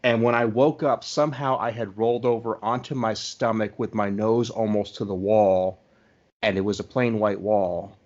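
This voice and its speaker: A man's voice: on the low side, fairly even intonation, moderate pace, darkish tone, lo-fi sound. A man chatting casually as a podcast host, in a conversational style.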